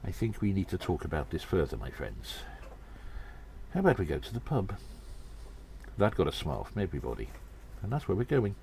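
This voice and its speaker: Man acting a very deep, gravelly gnome storyteller. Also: very animated, brisk, darkish tone, slight background noise, heavily acted. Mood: jovial. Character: little male gnome adventurer, conspiratorial storyteller addressing friends. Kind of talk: scripted audio drama monologue with dialogue.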